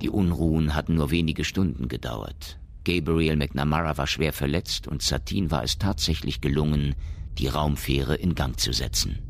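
A man's voice: a bass voice, normal speed, gravelly. A man narrating a radio drama in a scripted storytelling style. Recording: loud music and urban ambience behind.

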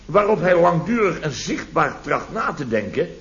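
A man's voice: a little high, big pitch swings, normal speed, fairly bright tone, reverberant. A man playing a blustering, sarcastic middle-aged contractor boss in a vintage radio comedy, repeating himself indignantly. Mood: sarcastic outrage. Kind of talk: 1970s Dutch radio comedy acting. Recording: dull vintage radio recording.

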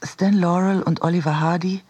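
A man reading a scripted literary essay for radio in a measured, deliberate manner. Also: sits high, fairly even intonation, a little mellow, breathy edge. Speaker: reader in a radio production.

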